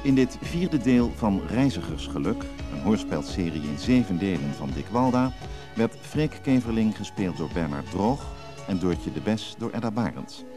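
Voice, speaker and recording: A man's voice: fairly low pitch, expressive, moderate pace, few pauses. A man reading scripted closing credits as a radio drama announcer. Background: music.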